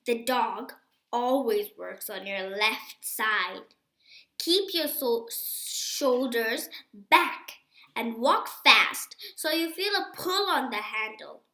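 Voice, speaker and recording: A woman's voice: on the high side, bright, forceful tone, echoey room, full character voice. A woman voicing a firm, instructive male guide-dog trainer in a storybook, speaking emphatically.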